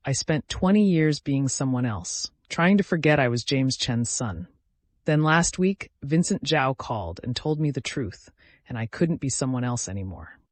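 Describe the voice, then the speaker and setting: A man's voice: mid-range voice, animated, normal speed, no echo, lightly acted. A man voicing a determined, haunted young man in his twenties, speaking earnestly in a dramatic audio story. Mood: grim resolve. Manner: earnest, resolute.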